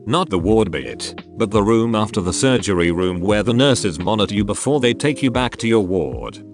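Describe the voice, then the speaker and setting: A man's voice: on the low side, expressive, moderate pace. A man reading out forum stories as narration over background music. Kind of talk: read-aloud narration.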